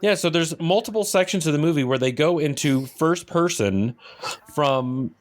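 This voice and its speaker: A man's voice: fairly high pitch, animated, normal speed. A man chatting casually and informally as a podcast co-host, readily giving his opinions.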